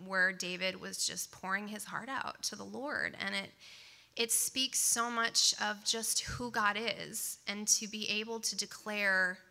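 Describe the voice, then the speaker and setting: A woman's voice: mid-range voice, steady tone, a little slow, gravelly. A woman speaking to a congregation before a worship song, chatty and informal, with many asides, English not her first language. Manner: chatty, warm asides, many "um" and "right?" tags. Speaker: worship leader. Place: congregation service.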